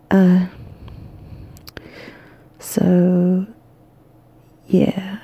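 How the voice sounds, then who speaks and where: Exhausted woman murmuring, gravelly and dark. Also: fairly low pitch, monotone, drawn-out words, lo-fi sound. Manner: soft, drowsy, half-whispered, rambling. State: exhausted, losing her voice. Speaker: podcast host. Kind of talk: sleepy bedtime monologue. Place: lying in bed at home.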